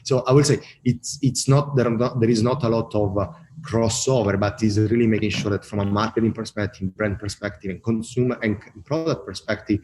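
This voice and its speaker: A man from Italy with an Italian accent, talking casually as a guest in an interview and pausing often with fillers and restarts. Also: on the low side, fairly even intonation, darkish tone, a little hiss or hum, rough recording. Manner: halting, many fillers and restarts. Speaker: brand marketing guest.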